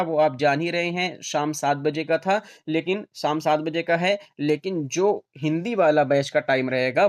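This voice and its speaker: A man teaching an online class in an informal lecture style, restating each point for his students. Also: on the high side.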